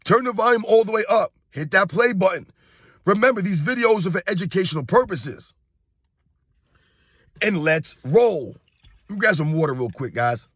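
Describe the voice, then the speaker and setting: A hoarse man in his thirties, a sports YouTuber delivering a casual, emphatic opinion rant straight to his viewers and calling them guys. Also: sits high, lively intonation, normal speed, pauses often, a bit bright, no echo, studio quality.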